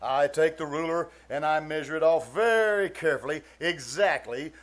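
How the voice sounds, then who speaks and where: An actor playing a pompous, blustery middle-aged uncle in a live 1940s-style radio comedy, easily flustered and full of hems and exclamations. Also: male voice, fairly high pitch, moderate pace, fairly bright tone, close to an everyday voice. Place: live stage performance in a theater.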